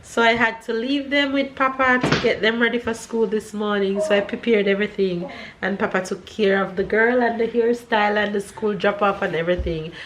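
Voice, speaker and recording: A woman's voice: medium pitch, steady tone, brisk, few pauses. A Jamaican woman in her thirties with a stuffy nose, chatting casually to her camera and her kids. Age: 30s. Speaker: family vlogger. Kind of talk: casual family vlog. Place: home kitchen. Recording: echoey home room with noise.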